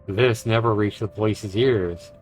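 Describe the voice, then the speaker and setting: A man's voice: fairly low pitch, fairly even intonation, a little slow, rough recording. A man who streams games, reading visual novel narration aloud and reacting to it. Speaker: game streamer. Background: game music.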